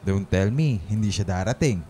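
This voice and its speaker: A man playing a smug, taunting schoolboy bully in a radio drama, sneering in drawn-out Taglish. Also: fairly low pitch, animated, mellow, a little hiss or hum, lightly acted. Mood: mocking. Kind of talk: Filipino radio drama acting.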